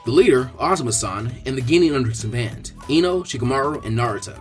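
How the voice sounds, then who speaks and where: A man's voice: medium pitch, animated, fast, faint music behind, close to an everyday voice. A man reading the part of a friendly young cattle rancher and chiding older cousin in a story, polite and plainspoken. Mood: matter-of-fact.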